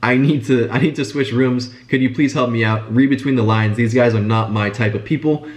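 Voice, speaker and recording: Young man, stuffy sick voice, quick chatty delivery, casual vlog. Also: mid-range voice. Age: young adult. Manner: fast, chatty, informal. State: congested, sick. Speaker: business vlogger.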